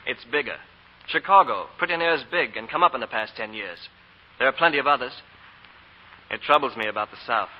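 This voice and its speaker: A man's voice: medium pitch, lively intonation, big-room sound, close to an everyday voice. Man playing a grave young banker, muffled radio. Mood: grave, firm. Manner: restrained, grave, measured. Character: sober, weary, principled young Southern banker. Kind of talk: 1940s radio drama acting. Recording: muffled, hissy old radio broadcast.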